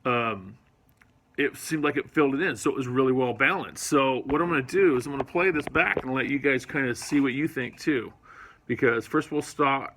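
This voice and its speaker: A man's voice: medium pitch, gravelly, fairly bright tone. A man casually reviewing studio gear in his recording studio, rambling and hesitant with lots of ums, recorded on a phone. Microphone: iPhone camera mic.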